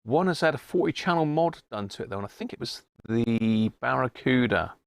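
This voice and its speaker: A man's voice: mid-range voice, expressive, fairly quick, vocal fry, no room sound. A British man hosting a livestream, chatting to viewers in an informal, matey, casual way. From United Kingdom. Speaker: livestream host. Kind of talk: casual live chat to viewers.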